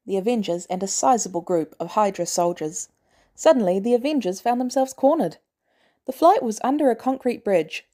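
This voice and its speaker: A woman's voice: mid-range voice, warm tone. A woman reading scripted fan fiction aloud as a narrator. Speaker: podfic narrator.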